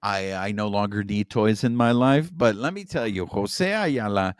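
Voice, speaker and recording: A man's voice: on the low side, moderate pace, clear, resonant tone, no echo, studio quality. A man in his forties, a native Spanish speaker, co-hosting a podcast in playful, hyped-up casual chat. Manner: lively, playful hype. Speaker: podcast co-host.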